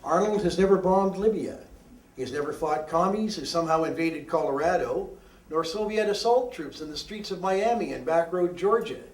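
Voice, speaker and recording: A man with a slightly high voice recites poetry in a roomy, echoing space. His delivery is declamatory and deadpan, with deliberate, emphatic phrasing. He sounds some way off from the microphone. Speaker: poet reading own work. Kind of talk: live poetry reading, declamatory. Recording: roomy echo, distant microphone.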